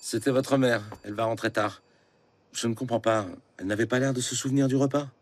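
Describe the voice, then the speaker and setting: A man voicing a calm, decisive father in a dubbed cartoon, speaking in a measured, matter-of-fact way. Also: mid-range voice, background noise, lightly acted. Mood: puzzled. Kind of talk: cartoon dubbing. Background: music.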